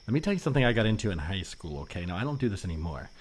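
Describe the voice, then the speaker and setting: A man improvising an earnest, suspicious former anarchist in a comedy podcast, explaining things in a halting, rambling way. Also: low voice, big pitch swings, slight background noise. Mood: earnest, conspiratorial.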